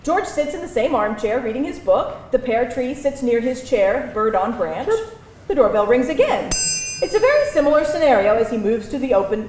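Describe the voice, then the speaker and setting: A woman reading stage directions aloud as narrator at a live table read, expressive and theatrical, in a roomy venue. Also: a little high. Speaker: screenplay narrator. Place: live event venue with audience. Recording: roomy live venue, faint background noise.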